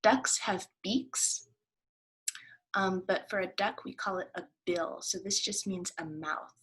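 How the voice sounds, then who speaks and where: Unhurried, husky-voiced woman teacher. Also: medium pitch, fairly even intonation, fairly bright tone. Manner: explanatory, prompting listeners with questions. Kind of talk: children's read-aloud with explanations and questions.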